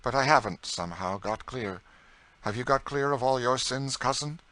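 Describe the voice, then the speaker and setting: A man playing a witty, teasing young gentleman who banters with his cousin in an audiobook reading. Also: medium pitch, moderate pace, no echo, pitched above the performer's own voice, natural voice. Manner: bantering, ironic, then impassioned. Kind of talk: audiobook character voice.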